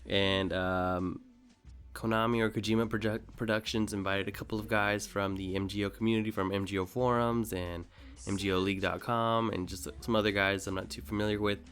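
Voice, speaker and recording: A man's voice: fairly low pitch, steady tone, fairly quick, a bit bright. A man hosting a gaming video, talking casually off the cuff in a hesitant, rambling way as he thinks aloud. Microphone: voice-over recorded over gameplay. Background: music under the voice.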